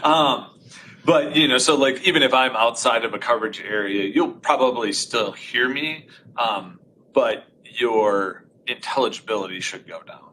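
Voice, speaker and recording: A man with a loud voice giving a live technical demo of conferencing equipment in a conference room, explaining each step. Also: medium pitch, normal speed, slight background noise, poor audio. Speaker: audio installer demonstrating conferencing setup.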